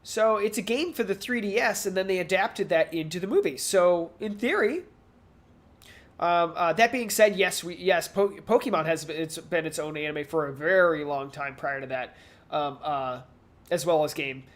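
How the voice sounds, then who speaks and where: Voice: mid-range voice, moderate pace. A podcast co-host chatting casually about pop culture, speaking in a hesitant, rambling way and often repeating words.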